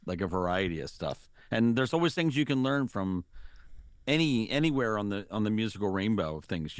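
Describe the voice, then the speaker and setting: Middle-aged male musician guest, low and dry, loose rambling delivery. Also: no echo. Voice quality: low singing voice. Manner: rambling, lots of fillers and restarts. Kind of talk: casual interview chat.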